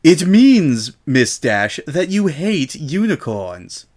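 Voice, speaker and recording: A man's voice: a little high, very animated, slow, a little mellow, pitched above the performer's own voice, full character voice. A man voicing a smug, haughty female unicorn, pompous and emphatic, in a dramatic story reading. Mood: indignant.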